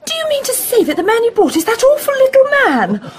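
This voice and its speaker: A woman's voice: very high-pitched, wildly swooping pitch, brisk, exaggerated voice. An actress playing a spirited, outspoken young woman in a period radio drama. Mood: indignant.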